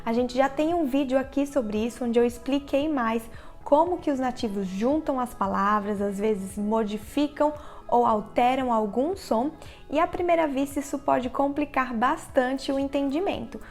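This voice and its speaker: High-voiced woman teaching English tips. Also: normal speed, darkish tone, soft background music. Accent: Brazilian Portuguese. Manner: clear, explanatory, direct address to viewer. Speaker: English teacher, channel host. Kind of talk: instructional vlog narration. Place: living in the United States.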